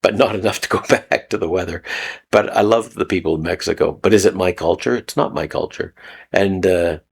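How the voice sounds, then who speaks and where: A man's voice: deep voice, fairly quick, creaky, husky. A man from Canada giving a rambling, casual spoken teaching talk, repeating phrases and chuckling often. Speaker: video host. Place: lives in Mexico.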